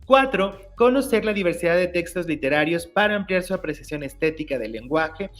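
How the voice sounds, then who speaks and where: A man, hosting his own channel and clearly explaining teacher-exam study material in an upbeat, explanatory way. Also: sits high, animated, fairly quick, a bit bright, faint music behind. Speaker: YouTube channel host, teacher-exam tutor. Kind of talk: educational explainer reading curriculum text.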